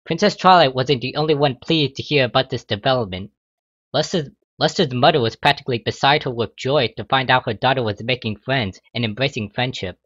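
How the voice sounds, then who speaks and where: A man's voice: mid-range voice, a little fast, a bit bright, dry recording, muffled recording. A man narrating a scripted fan fiction story, sometimes stumbling and rereading a phrase.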